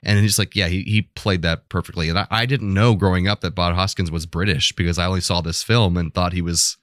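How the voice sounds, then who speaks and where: A man's voice: low-pitched, steady tone, a little fast, fairly bright tone, dry recording, clean studio sound. A man co-hosting a podcast, chatting casually about film in an informal way, often leaning on "like". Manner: informal, hedging with frequent "like". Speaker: podcast co-host. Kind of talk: casual film debate chat.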